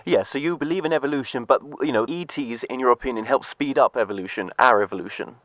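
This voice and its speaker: A man's voice: medium pitch, fast talker, a bit bright, dry recording. A man hosting an interview, asking questions in a casual, searching way. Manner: questioning, casual with fillers and restarts. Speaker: interviewer host. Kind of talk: radio interview.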